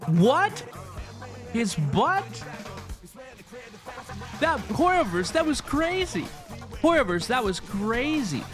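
A woman's voice: high-pitched, sing-song, takes it slowly, long pauses. A woman giving casual live reaction commentary, easily amazed and gushing as she hosts. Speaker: host of a reaction video. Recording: music behind the voice. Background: game trailer music and dialogue.

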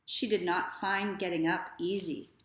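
A woman in her thirties reading a children's story aloud in a deliberate, expressive way. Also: fairly low pitch. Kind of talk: children's read-aloud narration. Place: small living room, indoors. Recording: echoey living room.

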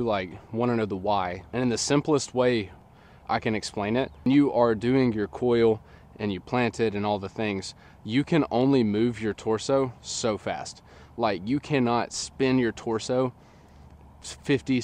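Slightly nasal man coaching outdoors. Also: mid-range voice. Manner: relaxed, chatty, filler-heavy explaining. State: slightly nasal from a sinus infection. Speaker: disc golf coach. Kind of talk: casual instructional talk. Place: open field outdoors. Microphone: camera on a tripod at a distance.

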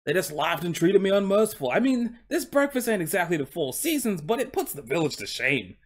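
High-pitched proud Cockney talking horse. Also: male voice, very animated, a little fast. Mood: indignant. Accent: put-on Cockney. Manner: colloquial, deferential, boastful working-class patter. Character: proud, chatty, aggrieved male talking coach horse from London.

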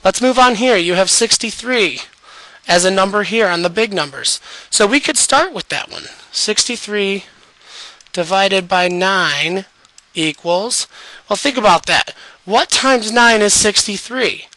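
A man walks young students through a math lesson, patient and step by step. His voice is worn and fading, yet it sits high and sounds bright. The recording is dry, with no room sound. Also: animated, measured pace, no room sound, rough recording. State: losing his voice. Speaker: math teacher.

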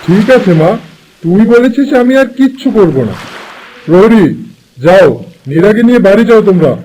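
A man's voice: high-pitched, expressive, moderate pace, dark tone, low-quality recording. A man voicing a stern, menacing village landlord and protective father in a fairy-tale cartoon. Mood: commanding. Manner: imperious, menacing.

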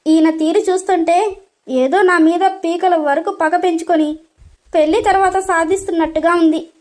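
A woman's voice: extremely high pitch, fairly even intonation, moderate pace, darkish tone. A woman voicing a hurt, timid young daughter-in-law in a drama story, with a pleading, questioning manner. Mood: anguished.

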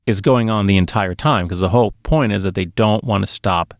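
A man with a creaky, gravelly voice chats casually about movies as a podcast co-host. His pitch runs slightly low, and the close microphone gives a dry sound. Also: fairly quick, no room sound. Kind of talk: casual film discussion. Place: small dark studio space, indoors. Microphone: close podcast microphone on boom arm.

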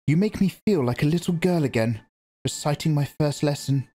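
A man reading aloud and voicing a proud young mother who quietly holds back tears, with a strained manner. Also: fairly high pitch, animated, moderate pace, pitched above the performer's own voice.

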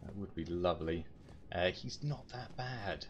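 A man's voice: low-pitched, lively intonation, measured pace, highs cut off, background noise. A British man giving casual gameplay commentary, talking to himself with mild exclamations. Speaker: gaming channel host. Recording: loud game music behind voice. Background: loud video game music.

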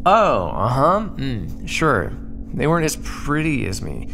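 A man's voice: medium pitch, wildly swooping pitch, unhurried, vocal fry, noisy background. A young man acting out an ASMR roleplay in a teasing, bantering way.

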